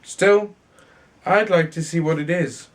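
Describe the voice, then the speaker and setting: A curious youngest brother from a storybook, read by a man. He muses and wonders at a very leisurely pace. The pitch is a touch high. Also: unhurried, natural voice. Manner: musing, wondering.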